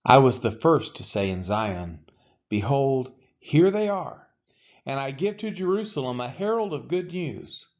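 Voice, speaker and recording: Male Bible reader, widely varied intonation, solemn emphatic delivery, measured pauses, slightly dull lo-fi recording. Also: medium pitch, big pitch swings, darkish tone. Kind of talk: dramatic scripture reading.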